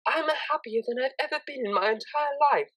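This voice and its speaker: Woman voicing a high-pitched, earnest young mare student. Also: normal speed, a bit bright, low-quality recording. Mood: happy, grateful. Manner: polite, gushing, earnest. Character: refined young female pony student, earnest and excitable.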